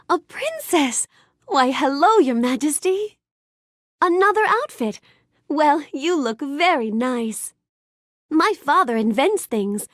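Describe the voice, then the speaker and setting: A woman's voice: high voice, expressive, slow pace, no echo, clean studio sound. A woman voicing a gracious, bookish young storybook heroine in a video game, speaking politely and expressively with careful enunciation. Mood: delighted wonder. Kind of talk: scripted video game character lines.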